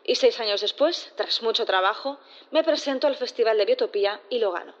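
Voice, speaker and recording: Woman as robot defendant, high voice, embittered, measured courtroom monologue, audio drama, slightly muffled recording. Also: natural voice. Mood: bitter, measured. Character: embittered robot defendant, envious, yearning to be human.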